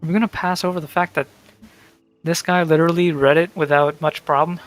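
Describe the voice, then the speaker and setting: A man's voice: a little high, steady tone, fairly quick. Casual male gamer with a light voice. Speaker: gameplay streamer. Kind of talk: casual reacting commentary during play. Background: loud game music.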